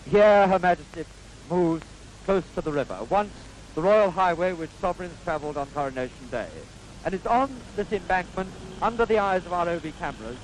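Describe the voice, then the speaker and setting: A man with a Received Pronunciation British accent giving formal, stately 1950s newsreel commentary in measured phrases, on a vintage soundtrack. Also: on the high side, slight background noise, rough recording.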